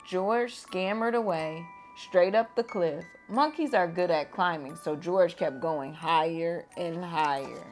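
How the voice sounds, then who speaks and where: Slow, gentle woman reading a bedtime story. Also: fairly low pitch, faint music behind. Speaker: teacher reading aloud. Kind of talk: children's bedtime read-aloud.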